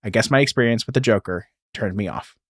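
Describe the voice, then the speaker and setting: A man's voice: fairly low pitch, fast, no room sound, studio quality. A man co-hosting a podcast, talking casually and explaining things, sometimes reading aloud and correcting himself as he goes. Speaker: podcast co-host.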